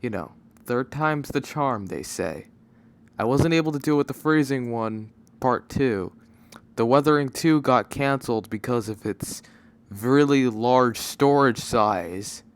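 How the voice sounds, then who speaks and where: Guy doing a casual update video, rambles and loses his thread, popping mic. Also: mid-range voice. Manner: rambling, halting, often losing his train of thought. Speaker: video creator giving channel announcements. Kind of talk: casual unscripted announcement. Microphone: microphone without pop filter. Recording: microphone pops, no pop filter.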